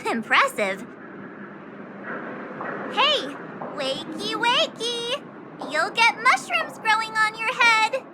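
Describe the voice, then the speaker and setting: A woman voicing a small, cheeky, energetic girl in a video game. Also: extremely high pitch, slow, pauses often, loud music behind the voice, full character voice, poor audio. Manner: teasing, exclamatory, childlike.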